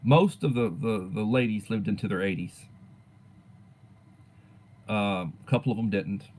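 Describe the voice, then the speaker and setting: A man's voice: on the low side, unhurried, darkish tone, slight background noise. Older man chatting on a livestream. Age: 60s. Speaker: livestream host and teacher. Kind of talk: casual livestream chat reading viewer comments.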